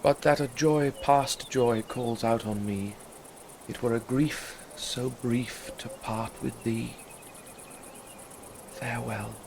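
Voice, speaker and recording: Lovesick young male, subdued, unhurried, radio Shakespeare over clear night ambience. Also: mid-range voice, steady tone. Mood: sorrowful. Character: lovesick young man, grieving yet hopeful. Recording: clear night noise with crickets.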